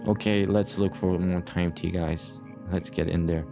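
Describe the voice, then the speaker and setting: A man's voice: sits low, steady tone, a little slow. A man giving casual let's play commentary as host, rambling and playful, often repeating his own phrases, short on sleep. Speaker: gaming YouTuber, host. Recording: music behind the voice.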